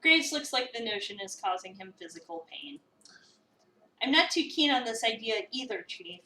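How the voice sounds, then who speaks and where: Visual novel lead, read by a woman: skeptical, snarky young cafe applicant, bright and sarcastic, echoey room sound. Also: a little high, animated, normal speed. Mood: wry, reluctant. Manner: sarcastic, wry. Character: snarky, skeptical young newcomer applying at a cat cafe, narrating inner thoughts. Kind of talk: dramatic reading of a visual novel. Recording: roomy echo, distant microphone.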